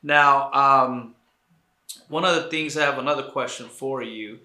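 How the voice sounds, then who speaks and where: A male interviewer asks questions in a friendly, affirming way, often nodding along with "right". His voice is bright and forward. The room around him echoes. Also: medium pitch, unhurried. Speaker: interviewer, college counselor. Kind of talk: conversational interview.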